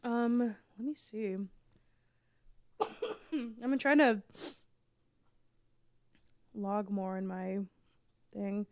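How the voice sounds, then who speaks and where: A young woman, still hoarse from being sick, chats casually on a podcast and coughs now and then. She rambles through fillers and restarts. Her voice comes through smooth and close, with no room sound. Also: medium pitch, fairly even intonation, very slow, long pauses, no echo. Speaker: podcast co-host. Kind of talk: casual chat.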